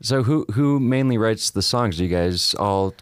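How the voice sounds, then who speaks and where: A male interview host with a smooth, resonant voice pitched a little low, recorded close and dry. He asks friendly, curious questions and lets his guests talk. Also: expressive, normal speed, dry recording. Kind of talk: casual interview.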